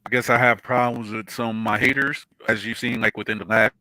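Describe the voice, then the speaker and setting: A man who streams and raps, talking casually and haltingly with many fillers and restarts. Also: medium pitch, little pitch movement, sharp tone. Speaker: streamer and rapper. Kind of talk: casual online conversation. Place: Santo Domingo.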